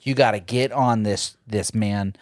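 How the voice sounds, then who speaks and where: A young man co-hosting a podcast in casual chat, ranting with lots of swearing and big jumps in pitch. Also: unhurried, a little mellow, dry recording, clean studio sound. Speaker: podcast co-host.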